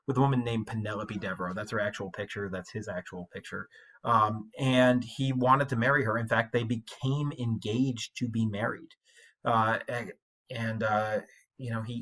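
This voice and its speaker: A man giving an informal English lecture, explaining things in a conversational, colloquial way like a high school teacher. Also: fairly bright tone, low-quality recording.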